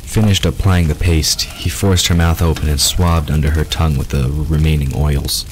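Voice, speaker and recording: An extremely low male voice narrates a scripted story. He reads as the narrator of an audio drama, with faint ambience and noise behind him. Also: flat delivery, moderate pace.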